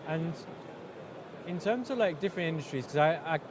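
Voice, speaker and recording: A male interviewer speaks in a slightly higher-set voice with a casual, halting delivery, often repeating words as he forms a question. He is recorded amid heavy noise on a busy exhibition floor. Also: expressive. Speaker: event interviewer. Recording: loud trade show floor noise.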